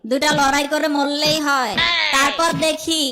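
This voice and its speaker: A woman voicing a sly, flattering, scheming jackal in a children's cartoon. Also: sits high, normal speed, very noisy, close to an everyday voice. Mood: gloating, sly. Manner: wheedling, flattering, theatrical.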